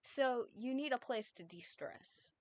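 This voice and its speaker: A serene, ancient ghost who masters time speaks gently and knowingly, as a woman puts him on. Every line is measured and unhurried, in the manner of a calm storybook reading. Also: a little high, no room sound, voice pushed up. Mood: gentle.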